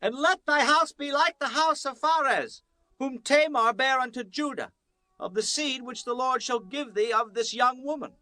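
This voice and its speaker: A man's voice: extremely high pitch, moderate pace, sharp tone, no echo, poor audio. An actor playing an eager townsman in a dramatized scripture, declaiming a blessing. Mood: exultant blessing.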